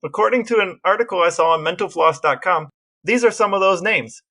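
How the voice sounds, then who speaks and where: A man's voice: sits high, fairly quick, sharp tone. A man co-hosting a podcast in casual chat, reading out quotes and bits of trivia. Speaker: podcast co-host.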